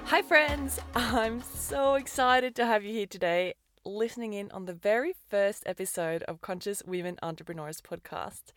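An upbeat woman, hosting her podcast in a scripted monologue. Her pitch sits mid-range, and her enthusiasm comes through a close mic in a dry, dead room. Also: no room sound. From Norway. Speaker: podcast host and business coach.

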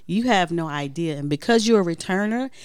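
Animated female podcast co-host, playful and sassy, with a lively pitch and dry close sound. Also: fairly quick, clean tone, no room sound. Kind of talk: casual chat.

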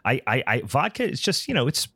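A man's voice: medium pitch, sing-song, a little fast, pushed and bright, breathy edge, dry recording, clean studio sound. A man co-hosting a podcast, in a slangy, jokey drawl. He says he is feeling a bit under the weather. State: feeling unwell. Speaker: podcast co-host. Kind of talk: casual chat.

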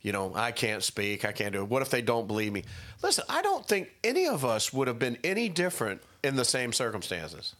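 A man hosting a casual Bible discussion podcast, a little nasal from a head cold, chatty and quick to laugh. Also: medium pitch, wildly swooping pitch, fairly bright tone. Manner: chatty, jokey, laughs easily. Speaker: podcast host. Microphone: studio podcast mic.

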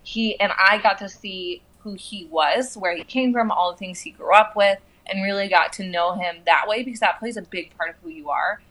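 A woman's voice: medium pitch, normal speed, hardly any pauses, fairly bright tone, rough recording. An American woman from the southwestern United States talking casually in conversation as a guest.

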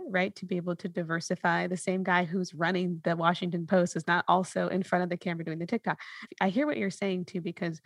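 A woman's voice: lowish voice, gravelly, no room sound, studio quality. A woman podcast host talking casually in a reflective, explanatory way. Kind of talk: casual conversational podcast talk.

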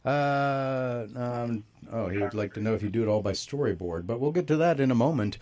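A man hosts a live call-in show from a radio studio. His voice sits at a middle pitch. He sounds upbeat and chatty, laughs easily, and keeps the calls moving. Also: lively intonation, normal speed, darkish tone. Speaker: radio host.

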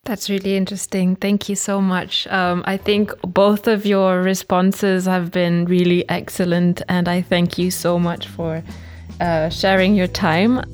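Quick upbeat woman podcast host. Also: on the low side, flat delivery, a little mellow, faint music behind. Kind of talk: interview hosting.

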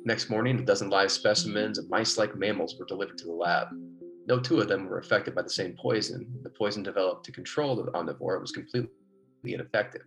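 Prominent music sits behind a low-pitched American biologist in an old radio play. He is earnest and wryly patient, measured and clinical, like a man keeping a careful survey log. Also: creaky, fairly bright tone, rough recording. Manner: measured clinical dictation alternating with easygoing explanation. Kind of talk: golden age American radio drama acting.

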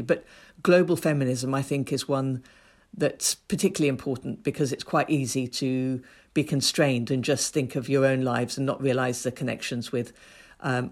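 Woman from ethiopia talking activism, earnest and measured, stacks her points one after another. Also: very deep voice. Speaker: guest, women's rights activist. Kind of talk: thoughtful interview answers.

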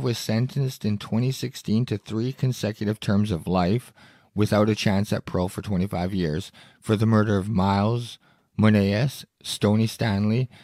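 A podcast host with a lowish, gravelly voice that is going hoarse from a cough. He reads out news items and reacts in a blunt, indignant way, swearing freely. The sound is close and dry, with no room around it. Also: darkish tone, dry recording. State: hoarse, losing his voice with a cough. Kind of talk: solo podcast commentary reading news aloud.

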